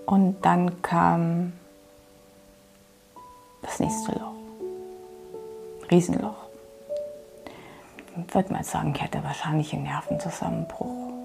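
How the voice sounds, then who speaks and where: A middle-aged woman gives a personal interview with a gravelly texture to her voice. She is candid and reflective, pausing and restarting as she searches for words. Clear background music runs beneath her. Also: on the low side, fairly even intonation, in a whisper. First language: German. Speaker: interviewee, children's ministry worker. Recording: music playing underneath.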